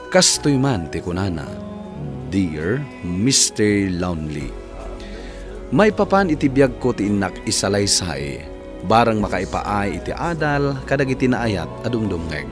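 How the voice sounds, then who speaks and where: A man hosting a radio drama, reading a listener's letter in scripted narration. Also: fairly low pitch. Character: earnest host reading a listener's letter aloud. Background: music.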